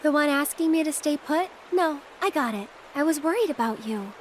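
A woman's voice: high voice, expressive, normal speed, slight background noise. An actress playing a playful, self-assured young woman with a casual, teasing manner in a video game cutscene. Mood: breezy, reassuring. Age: young adult.

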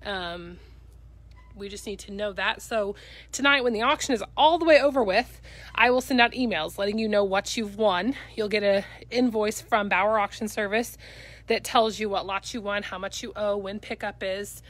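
A woman's voice: mid-range voice, normal speed, fairly bright tone. A woman with a Midwestern accent giving an upbeat, chatty walkthrough of auction items for live viewers, filmed on a handheld phone in a cluttered warehouse. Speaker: auction company staff. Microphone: handheld phone camera.

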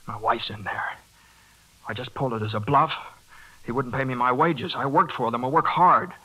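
An actor playing a hot-headed but good-hearted young working man in a 1940s radio drama, halting and stammering as he talks. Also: mid-range voice, low-quality recording. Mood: desperate, pleading. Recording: 1940s radio broadcast with loud music.

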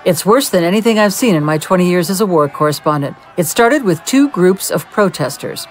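A woman's voice: on the low side, lively intonation, brisk, close to an everyday voice. A woman playing a veteran war correspondent who is losing her composure during a field report in an audio drama. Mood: shaken, reporting. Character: veteran female war correspondent unraveling into madness.

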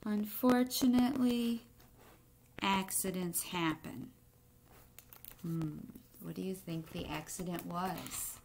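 Middle-aged woman, children's read-aloud, unhurried pace, mid pitch, home webcam sound with noise and clicks. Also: steady tone, creaky, a little mellow, low-quality recording. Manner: deliberate, with pauses between short phrases. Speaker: teacher reading a picture book aloud. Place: indoor room in a home.

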